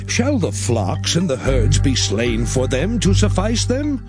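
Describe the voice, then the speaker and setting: Casting: burdened biblical prophet, highish voice, widely animated, impassioned, over background music. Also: male voice, a little slow. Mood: incredulous. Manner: impassioned, theatrical pleading. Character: Moses, burdened male prophet, anguished and pleading. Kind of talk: dramatized scripture reading.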